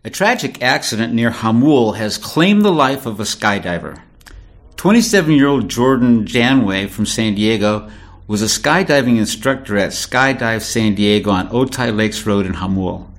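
A man reading a scripted news report on the radio in a measured, sober manner. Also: lowish voice.

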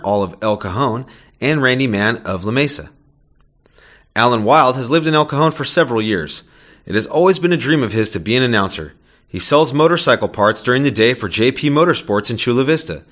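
A man's voice: mid-range voice, fairly bright tone. A man reading a scripted local news item on the radio in an upbeat, announcing manner. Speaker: news reporter.

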